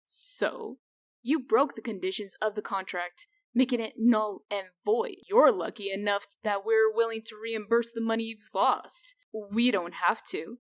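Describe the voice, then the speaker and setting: A woman voicing a brisk, shrewd female official in a comic dub, firm, dry and sardonic in manner. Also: mid-range voice, natural voice. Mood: stern, businesslike.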